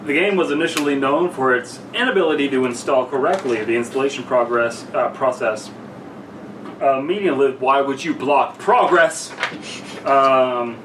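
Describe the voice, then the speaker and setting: Tipsy young man, echoey and distant. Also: medium pitch. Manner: halting, stumbles over reading. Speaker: podcast co-host reading a story aloud. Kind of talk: casual banter and story reading among friends. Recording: echoey noisy room, far from mic.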